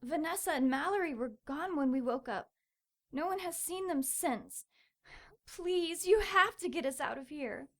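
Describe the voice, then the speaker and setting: A woman's voice: high voice, animated, a little slow, a bit bright, exaggerated voice. An actress playing a scared young captive woman in an audio drama, hesitant and pleading for rescue. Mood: frightened, pleading.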